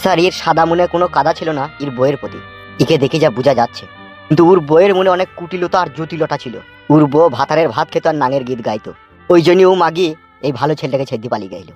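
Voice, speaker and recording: Guy doing a earnest young constable, bit high voice, quick. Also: darkish tone, soft background music, natural voice.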